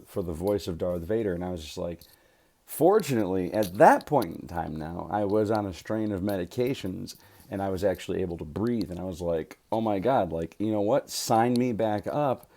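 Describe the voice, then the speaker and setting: Dude rambling to his viewers, lowish mellow voice, lots of uhs and restarts. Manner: rambling, many fillers and restarts; grateful, chatty. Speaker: voice actor. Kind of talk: casual vlog talk to camera.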